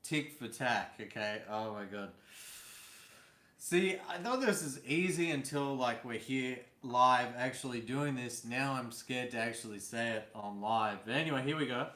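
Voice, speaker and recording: A man hosting a live stream from indoors at home, talking casually and teasing his co-host with easy laughs. His voice sits at an ordinary pitch. The room around him rings with a noticeable echo. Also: normal speed, sharp tone. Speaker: vlog host.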